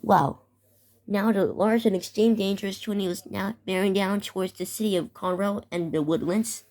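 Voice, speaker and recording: A woman's voice: medium pitch, fairly even intonation, moderate pace. A young woman narrating a made-up emergency scenario and reading out warnings, stumbling over and repeating words. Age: teenager or young adult. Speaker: amateur video creator narrating a fictional emergency alert scenario. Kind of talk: improvised narration mixed with read-out weather warnings. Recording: loud music behind the voice. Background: loud music.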